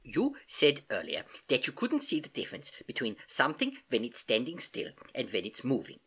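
A storybook narrator voicing an elderly, jovial uncle who eagerly and patiently explains science, with animated exclamations and hesitations. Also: mid-range voice, moderate pace, breathy edge, heavily acted. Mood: emphatic explaining. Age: older.

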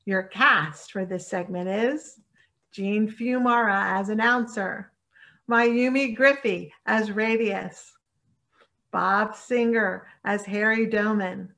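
Middle-aged female announcer: forceful bright tone, measured scripted read, mid-range pitch. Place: indoors on a video call.

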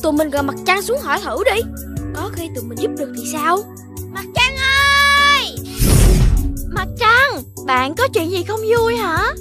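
A woman voicing a perky, sensible little animal child in a children's cartoon, expressive and childlike, with music behind. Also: very high voice, measured pace, heavily acted. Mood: helpful.

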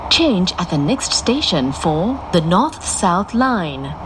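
A woman making scripted train announcements in a formal, clearly enunciated, measured manner. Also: lowish voice, sing-song, heavy background noise. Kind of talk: scripted recorded transit announcements. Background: loud moving train ambience.

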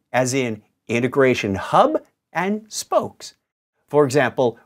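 A man in his fifties giving scripted software instruction in a clear, emphatic, didactic presenter's delivery. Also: on the high side.

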